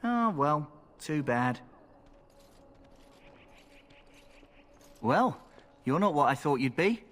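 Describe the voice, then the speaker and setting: An actor playing a cheeky, wry roguish recruit in a video game, flippant and colloquial. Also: male voice, a little high, big pitch swings, a little slow, fairly bright tone, in a large hall. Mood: teasing.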